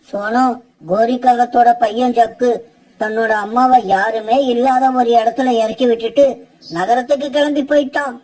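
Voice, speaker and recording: A woman voicing a concerned, earnest male bird rickshaw driver in an animated fable. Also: fairly high pitch, normal speed, far from the mic, lightly acted, rough recording.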